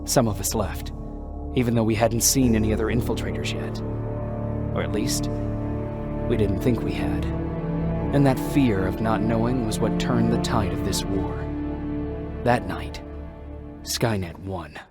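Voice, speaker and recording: An actor playing an earnest, wary resistance soldier in a video game, sober and plain-spoken. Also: male voice, on the low side, measured pace, long pauses, lightly acted. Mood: somber narration. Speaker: soldier and narrator. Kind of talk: dramatic game acting and story narration. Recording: loud music behind the voice.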